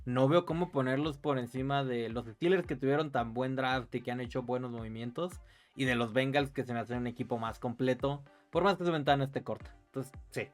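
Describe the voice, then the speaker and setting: A man with a Mexican Spanish accent speaks in a medium-pitched voice as a sports podcast co-host. He lays out his football opinions in a casual, conversational way over faint music. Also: fairly quick.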